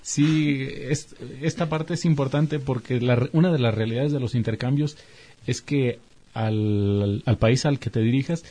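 Male host, warm dark tone, easygoing, nods along with quick replies. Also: mid-range voice. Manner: calm, agreeable, short affirmations. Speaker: interviewer. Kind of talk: conversational interview hosting.

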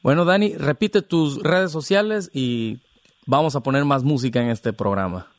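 A man's voice: mid-range voice, normal speed, dry recording, clean studio sound. A man from Veracruz hosting a podcast interview, speaking casually in a friendly, congratulatory way. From Veracruz, Mexico. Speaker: podcast host.

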